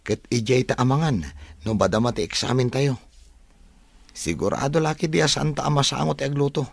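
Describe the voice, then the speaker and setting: A man's voice: medium pitch, fairly quick, gravelly, no echo. A man playing a thrifty young student from the province, chatting with his friend in a radio drama. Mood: casual, practical. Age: young adult.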